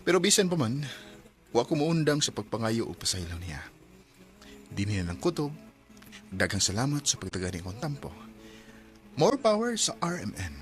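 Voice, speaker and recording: A man's voice: medium pitch, very animated, normal speed, pauses often, faint music behind, close to an everyday voice. A man acting a guilty, cheating husband in a Bisaya radio drama, emotional and pleading, with a Cebuano accent. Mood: remorseful. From Philippines.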